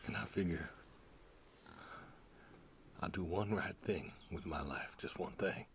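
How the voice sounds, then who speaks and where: An actor playing a weary, stoic older pig farmer in a video game, terse, plainspoken and solemn. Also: male voice, low-pitched, a little slow, fairly bright tone, slight background noise. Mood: sad, resigned. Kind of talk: naturalistic video game acting.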